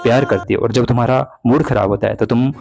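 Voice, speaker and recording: A man's voice: mid-range voice, fairly even intonation, fast, vocal fry, a little mellow, natural voice. A young man playing a hurt, jealous boyfriend, reproachful and pleading in a dramatized breakup talk. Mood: sad, doubtful. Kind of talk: dramatized breakup phone conversation in Hindi. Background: background music.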